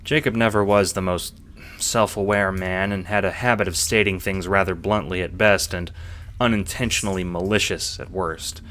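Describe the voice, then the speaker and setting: A man's voice: low voice, steady tone, moderate pace, fairly bright tone. A man reading scripted second-person narration for an audio drama.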